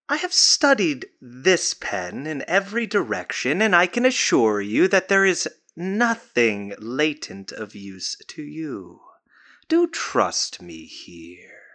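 A man's voice: a little high, pushed and bright, heavily acted. A man playing a condescending, secretive doctor in an audio drama, speaking in grandiloquent, patronizing formal phrases.

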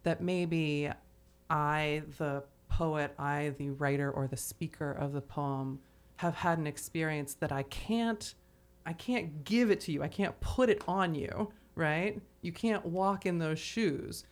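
A woman's voice: low voice, slow pace. A woman co-hosting a literary podcast, talking casually in a searching way and often checking in with "right?". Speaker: podcast co-host. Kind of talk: literary discussion, casual chat.

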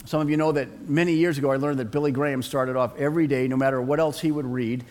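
A man's voice: medium pitch, fairly even intonation, fast talker, darkish tone. A middle-aged male pastor preaching an earnest sermon and asking the congregation to respond.

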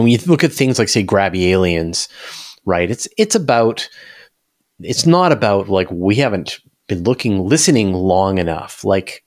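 Man, low voice, interview guest, casual science talk, emphatic, repeats words for stress.

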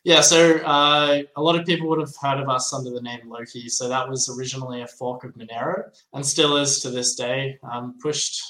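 A man's voice: medium pitch, steady tone, a little fast, hardly any pauses, fairly bright tone. Australian man in an echoey room. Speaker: guest, software project developer. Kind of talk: explanatory interview talk. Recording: roomy, echoey sound.

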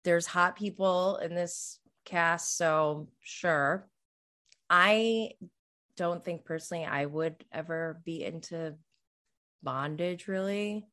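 Woman around 40 doing a casual solo recap, kinda low voice, really slow and rambly. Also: fairly bright tone. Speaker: podcast host. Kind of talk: casual solo recap chat about reality TV.

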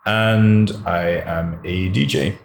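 A man in his forties from Ghana and London, a lecturer and DJ, speaking in a relaxed, measured way as a podcast guest. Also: deep voice, creaky. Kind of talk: interview conversation. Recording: echoey room, faint noise.

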